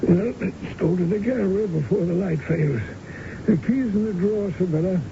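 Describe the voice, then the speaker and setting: A genial, sardonic old man from a vintage radio drama, with a fairly high voice and a hesitant, pompous delivery full of throat-clearing. The recording is muffled, with clear background noise. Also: normal speed. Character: ailing old American art-collecting husband, genial yet sardonic. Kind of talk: 1970s radio drama acting.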